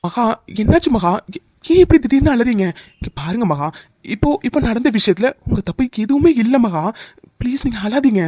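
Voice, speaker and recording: A man acting a gentle, caring young office colleague in an emotional drama, soothing with insistent repetition. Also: very high voice, big pitch swings, a little fast, no echo, exaggerated voice. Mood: concerned.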